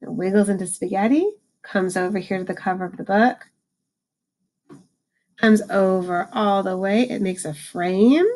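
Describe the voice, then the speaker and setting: A woman reading a picture book aloud to children, adding informal explanations and slowing down for the book's lines. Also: mid-range voice, darkish tone, rough recording. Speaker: read-aloud host, teacher for children.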